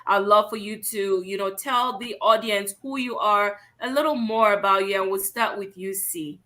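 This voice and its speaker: A woman's voice: medium pitch, moderate pace, pushed and bright. A woman from Cameroon hosting an online talk show, welcoming viewers and guests with enthusiastic, upbeat energy. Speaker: host.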